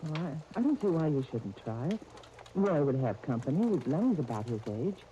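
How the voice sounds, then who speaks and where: An American woman acting a gentle, reasonable fiancée in a 1930s radio soap opera. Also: sits low, very animated, moderate pace. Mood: gentle, affectionate. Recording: noisy, dull 1930s radio disc recording.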